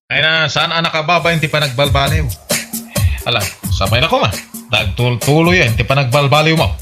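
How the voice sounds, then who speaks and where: An actor with an Ilocano accent playing a teasing, sceptical husband in a radio comedy, mocking and exclamatory. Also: male voice, a little high, fairly quick, music in the background, natural voice. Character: teasing, sceptical husband scolding his gossipy wife.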